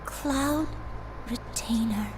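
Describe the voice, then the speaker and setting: A woman voicing a gentle, sorrowful grandmother in a video game, earnest and halting. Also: on the high side, noisy background. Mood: shaken. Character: gentle, sorrowful grandmother with a long-lost past. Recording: game audio with music behind.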